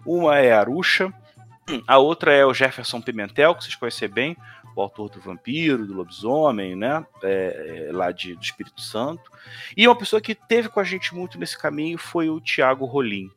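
A man's voice: medium pitch, very animated, moderate pace, fairly bright tone, faint music behind. A man with a Northeastern Brazilian accent, a tabletop game designer chatting casually and rambling with many restarts. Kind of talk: casual conversation on a live stream.

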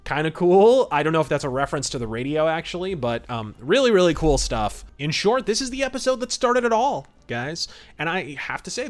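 A man hosting a podcast, giving casual, enthusiastic commentary in an emphatic, conversational way. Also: on the high side. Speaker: podcast host.

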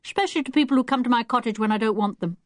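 A woman's voice: on the high side, rapid-fire, no echo, clean studio sound, natural voice. A woman voicing a cocky storybook boy. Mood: rude. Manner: taunting, offhand. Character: cocky, rude, teasing young boy in a storybook.

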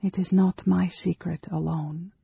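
A woman voicing an earnest, nervous woman of a long-lived secretive race in a storybook, speaking haltingly and with emotion. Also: on the low side, flat delivery, vocal fry, warm tone, husky, no room sound, lo-fi sound, pitched above the performer's own voice, full character voice. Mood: guarded.

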